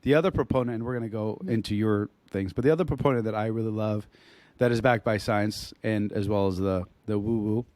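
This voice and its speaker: A man co-hosting a podcast in casual chat, his voice hoarse as he loses it, talking in a rambling way with restarts and throat clearing. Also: on the low side, fairly quick, darkish tone, no echo. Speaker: podcast co-host.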